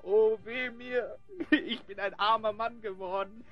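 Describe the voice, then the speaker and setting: A man's voice: high voice, steady tone, unhurried, fairly bright tone, muffled recording, faint music behind. Whiny, greedy nobleman. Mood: wailing. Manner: plaintive, theatrical lamenting. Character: whining, greedy male nobleman secretly hiding his identity. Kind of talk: German audio drama acting.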